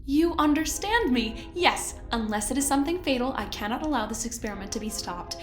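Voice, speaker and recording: A smug woman running corporate research in a sci-fi audio drama, played by an actress. Her high voice is sweetly polite with menace underneath. The sound is roomy, with faint music behind. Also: expressive, a little fast, lightly acted. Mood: cool, menacing. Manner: falsely sweet, condescending, theatrically polite.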